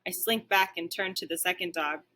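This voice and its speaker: A woman reading a children's story aloud like a teacher, with expressive narration. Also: lowish voice, brisk.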